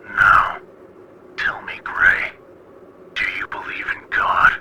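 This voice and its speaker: A man playing a stern, menacing military commander in an animated horror series, giving a cold, condescending briefing over the radio. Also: slow. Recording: very noisy radio transmission.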